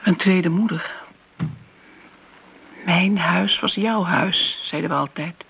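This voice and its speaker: A woman's voice: fairly low pitch, slow pace, vocal fry, slight background noise. An actress playing a wounded, possessive older woman in a radio play, speaking in a grave and wistful way, like a second mother. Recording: muffled old radio recording.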